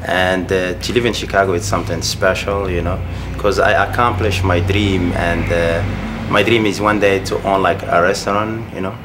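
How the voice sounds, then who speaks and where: A man from Morocco who owns a restaurant, talking in a relaxed way with casual fillers. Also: sits low, moderate pace, background noise. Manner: relaxed, with fillers like "you know". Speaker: restaurant owner. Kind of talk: casual interview.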